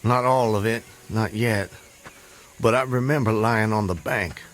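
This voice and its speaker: Casting: a man to play courteous, wary runaway in audio drama; lowish voice, formal, hesitant, unsure of himself. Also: fairly even intonation, measured pace, lightly acted, poor audio. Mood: dazed, reflective. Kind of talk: radio drama acting.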